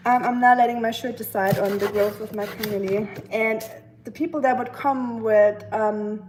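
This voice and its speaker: A young woman with a German accent chats with her stream viewers while cooking at home, her voice sitting a little high. She answers chat messages at an easy pace, full of ums and likes, and now and then drifts back from the mic. Faint chopping and clicks are heard behind her in the kitchen. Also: steady tone, unhurried, darkish tone, slight background noise, rough recording. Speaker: livestream host.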